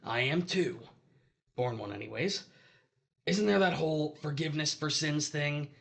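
An actor playing the pleading spirit of a dead husband, insistent and argumentative, in an audio drama. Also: male voice, fairly high pitch, moderate pace, creaky, a bit bright.

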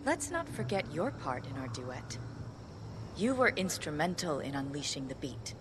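An actress playing a cool, cryptic female musician in a video game, measured and subdued. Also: sits low, very animated. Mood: subdued, grave. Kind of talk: video game cutscene acting. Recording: clear background noise.

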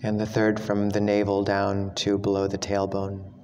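A man leads a guided meditation in a low, even voice. He speaks slowly and gently, leaving long pauses between phrases. The recording has long hall reverb and some background noise. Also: dull audio. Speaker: monk, meditation teacher.

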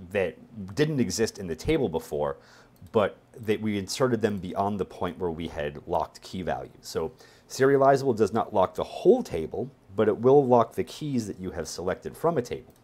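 A man in his forties with a low-pitched voice walks through a technical demonstration. He explains things informally, in a conversational way, thinking aloud as he works through each step on screen. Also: darkish tone. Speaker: instructor.

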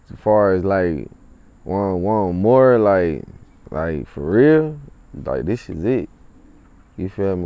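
Young male rapper. Deep, relaxed tone, laid-back slangy delivery, casual interview outdoors. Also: dry recording. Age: young adult. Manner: laid-back, slangy, with frequent tag phrases. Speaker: rapper and clothing brand owner. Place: outdoors in a driveway beside a parked car.

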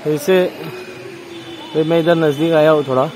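A man's voice: on the high side, level tone, normal speed, a little mellow. A man vlogging a walking tour outdoors at a marble temple, explaining the wall paintings in a plain, casual way. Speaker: travel vlogger. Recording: noisy outdoor phone recording.

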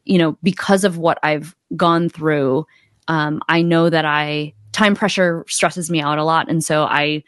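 A woman around her thirties or forties, a coach, speaking casually and a little haltingly as an interview guest on a close microphone. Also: sits low, steady tone, a bit bright, dry recording. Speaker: podcast guest, accountability coach. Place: small indoor room, video call. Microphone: close desk microphone.

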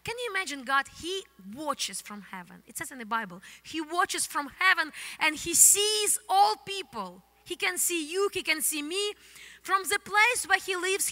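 Bright Ukrainian-accented woman pastor preaching. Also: sits high. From Soviet Union. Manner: animated, gesturing, non-native English phrasing. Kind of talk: sermon. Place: church stage, indoors, large hall. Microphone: handheld microphone. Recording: long hall reverb from the church.

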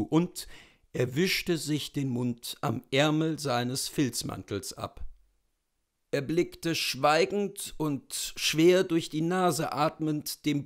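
A man in his thirties narrates a literary story. His voice sits fairly high for a man, and he reads expressively at a slow, measured pace. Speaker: literature reader. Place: small indoor room with bookshelves.

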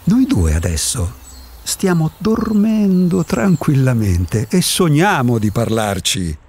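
Radio drama male actor as an eccentric recluse: provocative, impatient philosopher, husky voice, very animated delivery. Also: fairly high pitch, takes it slowly, full character voice. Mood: impatient, lecturing. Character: eccentric solitary man insisting he does not exist. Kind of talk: Italian radio drama acting.

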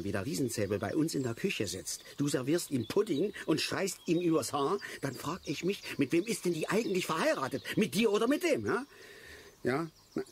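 An actor playing a stubborn, self-pitying middle-aged angler who grumbles and stammers self-righteously in a naturalistic radio play. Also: male voice, high-pitched, slight background noise. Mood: defensive, aggrieved.

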